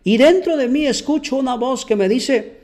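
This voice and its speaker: A man's voice: very high-pitched, moderate pace, darkish tone. A man giving an earnest testimony and Bible teaching as a preacher, often repeating words as he searches for them.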